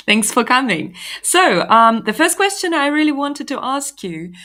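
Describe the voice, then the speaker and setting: A woman's voice: sits high, very animated, a little slow, pushed and bright. A woman hosting a live online session, upbeat and chatty, with fillers and laughter. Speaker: host.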